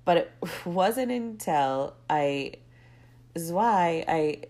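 A young woman with a low-pitched, congested voice hosts her own podcast. She talks casually and rambles, drifting into side stories before circling back to her point. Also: animated, slow pace, a little mellow. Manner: rambling, goes off on tangents. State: stuffy, congested with a cold. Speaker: podcast host. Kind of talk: casual solo podcast monologue.

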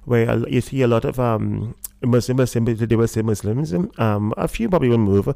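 A man from Trinidad with a Trinidadian accent, a guest talking in a relaxed interview and often pausing with fillers and restarts. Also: mid-range voice, vocal fry, no echo. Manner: hesitant, many fillers and restarts. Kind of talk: casual interview talk.

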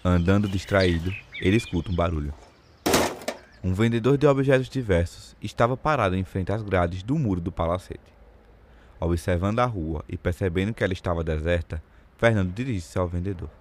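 A man's voice: low-pitched, fairly quick, noisy background. A man giving scripted literary narration for an audio drama.

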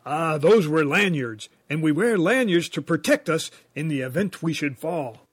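A man's voice: on the high side, lively intonation, moderate pace, husky, dry recording. A man playing an earnest, bumbling handyman dad in a comedy radio sketch, sheepish and hesitant when explaining himself. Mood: upbeat, earnest.

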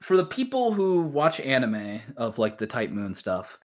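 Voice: mid-range voice, very animated, unhurried, darkish tone. A podcast host chatting casually about anime and visual novels, opinionated, joking and swearing freely.

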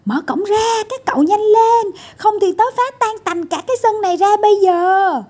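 A woman's voice: extremely high pitch, normal speed, darkish tone, voice pushed up. A woman voicing a whiny, stubborn little boy in a children's storybook, yelping and complaining about pain. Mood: frantic, bossy.

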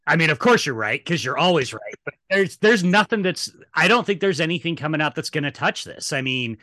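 A man's voice: a little high, lively intonation, brisk, pushed and bright, no room sound, studio quality. A man co-hosting a casual film discussion, giving emphatic opinions with some profanity. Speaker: podcast co-host.

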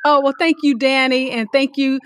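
A woman hosting a podcast, speaking in an effusive, gracious and deliberate way. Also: high-pitched, fairly even intonation, fairly bright tone. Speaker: podcast host. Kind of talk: warm interview hosting with sign-offs.

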